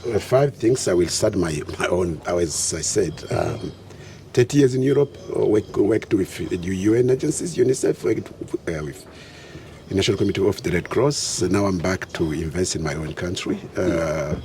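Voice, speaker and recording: Deep-voiced French-speaking man. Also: lively intonation, darkish tone. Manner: measured, clears throat often. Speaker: guest. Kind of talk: interview answers. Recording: faint background noise.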